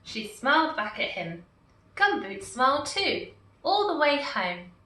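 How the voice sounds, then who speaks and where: Slow, expressive woman storyteller. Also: high voice. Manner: slow, expressive, warmly animated. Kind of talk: reading a picture book aloud. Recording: echoey room, far from mic.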